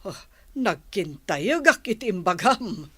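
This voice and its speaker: A woman's voice: mid-range voice, very animated, takes it slowly, husky, no echo, heavily acted. A woman acting as a nervy elderly grandmother in an Ilocano radio drama, questioning and easily startled. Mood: scolding. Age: older.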